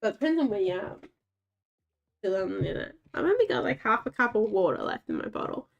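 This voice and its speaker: A woman's voice: medium pitch, sing-song, fast talker, gravelly, highs cut off. Scratchy-voiced woman streamer, chatty. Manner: rambling, chatty, talks to herself and the game's dogs. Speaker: game streamer. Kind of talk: casual gameplay commentary.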